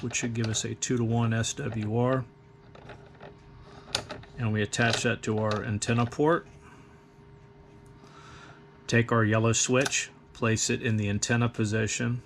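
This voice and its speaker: A man's voice: on the low side, slight background noise. A man giving a plain, methodical, step-by-step instructional demonstration in a matter-of-fact way. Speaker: host of radio equipment demonstration.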